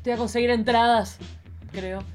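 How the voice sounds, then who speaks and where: A woman's voice: on the high side, fairly even intonation, normal speed, darkish tone, soft background music. A woman hosting a podcast, chatting casually in a playful, campy, theatrical way. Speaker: podcast host.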